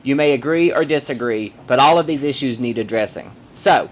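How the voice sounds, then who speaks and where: A man's voice: medium pitch, brisk. A man who performs in drag, hosting his own vlog and giving emphatic, opinionated commentary straight to the camera, hammering points home with repetition. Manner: emphatic, forceful, repeats points for effect.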